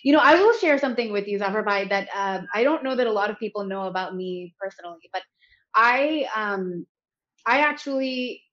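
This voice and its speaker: A woman's voice: mid-range voice, animated, fairly quick, a bit bright, lo-fi sound. An American woman, a health company founder, speaking earnestly and articulately as an interview guest. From United States. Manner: earnest, articulate, with light laughs. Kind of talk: interview conversation.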